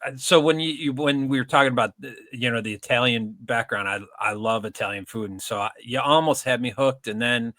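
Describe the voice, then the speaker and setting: A man's voice: medium pitch, sharp tone, no room sound. A man hosting a podcast, chatting casually with his guests and often stumbling over his words and starting again. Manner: halting, stumbles and restarts often. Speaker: podcast host.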